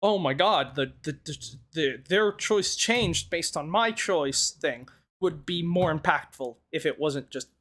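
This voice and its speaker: Male gaming host, mildly hoarse, strained throat, casual commentary, rambling, thinking aloud. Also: a little high, animated, measured pace, fairly bright tone. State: slightly hoarse, strained from yelling.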